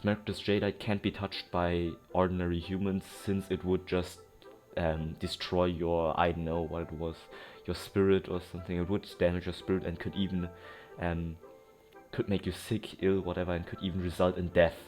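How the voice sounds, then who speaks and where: Low voiced guy doing a lets play, rambly, corrects himself a lot, game music behind. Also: level tone, moderate pace, darkish tone. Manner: rambling, self-correcting, thinking aloud. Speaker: gaming streamer. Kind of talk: casual let's play commentary.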